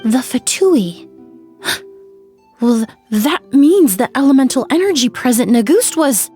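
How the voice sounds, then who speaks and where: Young female investigator for a video game: slightly high voice, composed, analytical, exact diction. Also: sing-song, a little slow, mellow, soft background music. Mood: surprised. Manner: precise, clinical, polite. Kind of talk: English video game voice acting.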